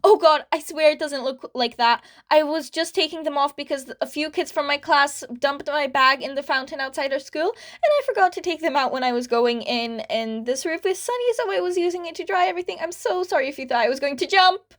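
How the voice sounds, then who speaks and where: A woman voicing a gushing excitable young boy. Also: high voice, a little fast, hardly any pauses, pitched above the performer's own voice. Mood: flustered apologetic. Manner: breathless, gushing, apologetic. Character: eager jittery young boy fan.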